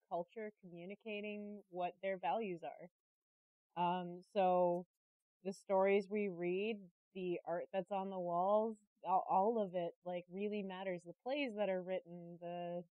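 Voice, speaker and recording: A woman speaking as a guest in a casual interview, expressive and earnest, on slightly dull audio. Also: mid-range voice, no room sound. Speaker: interview guest, creative director.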